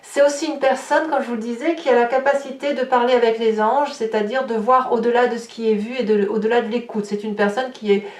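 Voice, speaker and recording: A woman's voice: fairly high pitch, steady tone, brisk, few pauses, a little mellow. A woman giving an improvised tarot reading to viewers, explaining in a searching way and often rephrasing as she goes. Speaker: tarot reader. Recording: roomy echo, distant microphone.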